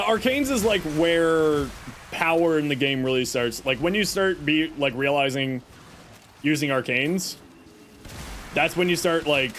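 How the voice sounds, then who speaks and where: Self-deprecating gamer man, voice going hoarse. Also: on the high side, fairly bright tone, slight background noise. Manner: self-deprecating, rambling with fillers and restarts. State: losing his voice. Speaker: streamer. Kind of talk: casual live-stream chat answering viewers.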